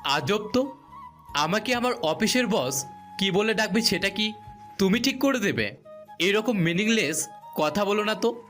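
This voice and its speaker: Guy doing a cheeky young husband in an audio romance, swingy pitch, argues back, faint music behind. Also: animated, a little slow, pauses often, a bit bright. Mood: defensive. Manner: teasing, argumentative. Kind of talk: audio love-story acting.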